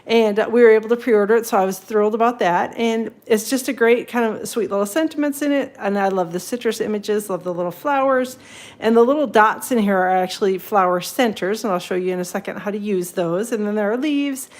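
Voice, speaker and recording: A woman's voice: medium pitch, a little fast. A woman hosting a casual papercraft livestream, a bit sniffly from a cold, rambling and greeting viewers by name.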